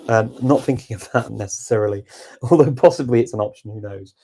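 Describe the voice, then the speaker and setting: A man hosting a casual live Q&A, answering viewer questions with relaxed, reflective advice. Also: on the low side, a little fast, husky.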